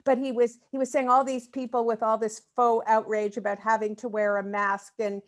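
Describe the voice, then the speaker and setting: A woman chatting casually in a group discussion, halting and repeating words as she works out her thoughts. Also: a little high, level tone. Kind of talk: casual video-call chat.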